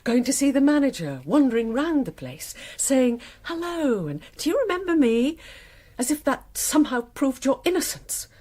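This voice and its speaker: A woman's voice: high-pitched, very animated, moderate pace, darkish tone. A woman acting in a naturalistic radio drama as a betrayed wife, sceptical, pointed and bitterly sarcastic. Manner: sardonic, accusing, pointed.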